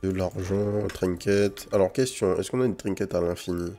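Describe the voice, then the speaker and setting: Deep mellow guy from french guiana chatting over gameplay, slangy w english gamer words, bit of music behind. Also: steady tone, moderate pace. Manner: informal slang sprinkled with English gaming terms. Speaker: gaming channel host. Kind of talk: casual gameplay commentary with first impressions. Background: game music.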